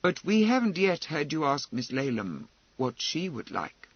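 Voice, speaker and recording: A man voicing a firm, quietly aggressive gentleman with a level, measured tone in a novel reading. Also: a little high, sing-song, husky, muffled recording. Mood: level challenge.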